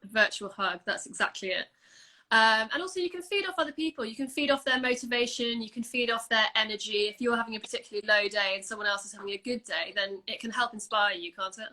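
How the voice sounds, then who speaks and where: Bright, chatty woman. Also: on the high side, fast talker. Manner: chatty and upbeat, full of everyday British phrases. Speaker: host of an Instagram live. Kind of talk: casual video chat. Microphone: phone or laptop for a livestream.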